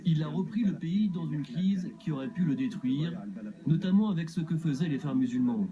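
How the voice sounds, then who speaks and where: A man's voice: on the high side, moderate pace. A man giving a short interview soundbite in a news report, speaking in a plain, assured way. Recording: dull audio, music behind.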